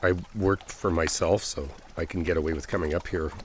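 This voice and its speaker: Low male voice, man playing bashful friendly stranger, hesitant casual roleplay delivery, faint water ambience. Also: fairly even intonation, brisk, natural voice. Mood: relaxed, friendly. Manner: hesitant, apologetic, chuckling, conversational. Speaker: audio roleplay performer. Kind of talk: boyfriend ASMR roleplay, casual chat. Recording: outdoor water ambience noise.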